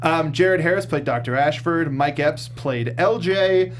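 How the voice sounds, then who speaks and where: A man's voice: fairly high pitch, unhurried, a little hiss or hum. A man hosting a casual film review discussion, with wry, jokey asides. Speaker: host of a film review show.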